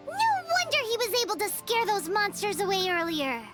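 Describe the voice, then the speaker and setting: A tiny female game fairy with an extremely high, childlike voice. She is excitable and chatty, with lively swoops in pitch. Loud music plays behind her. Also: normal speed. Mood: curious. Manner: speaks of herself in third person, exclamatory.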